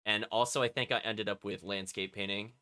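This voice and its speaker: A man's voice: lowish voice, fairly even intonation, fairly quick, sharp tone, smooth. A man streaming a video game, reading its text aloud and chatting with casual asides to his viewers. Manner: chatty, often commenting as an aside.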